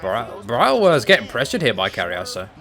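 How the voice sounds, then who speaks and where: A young man casually commentating a fight video game, excitable and breaking into shouts at big moments. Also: medium pitch, brisk, creaky.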